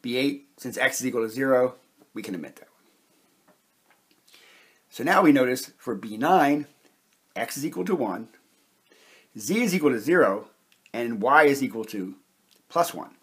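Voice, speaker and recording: Guy teaching chemistry step by step, bit husky, medium pitch, methodical. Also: expressive, measured pace, long pauses, a bit bright. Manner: methodical, explanatory. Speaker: chemistry instructor. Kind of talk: step-by-step whiteboard explanation.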